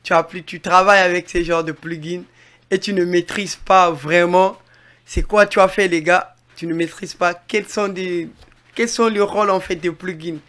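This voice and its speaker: A man with a Congolese French accent giving an informal music mixing tutorial in a halting, repetitive way, addressing viewers directly. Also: high-pitched, steady tone, a bit bright. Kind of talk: informal tutorial with on-the-spot demonstration.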